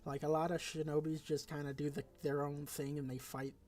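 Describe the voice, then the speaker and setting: A man with a stuffed-up, slightly raspy voice pitched a touch high runs through a game tier list in loose, rambling commentary. He keeps correcting himself mid-sentence, and faint music plays underneath. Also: little pitch movement, a little fast, a little mellow. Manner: loose and rambling, with frequent fillers and self-corrections. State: congested. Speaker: gaming video creator.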